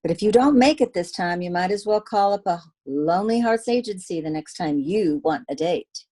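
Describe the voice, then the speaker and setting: A woman's voice: fairly low pitch, animated, moderate pace, dark tone. A woman playing a detective's wry, sassy girlfriend in an old-time radio drama, with dry banter. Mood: teasing.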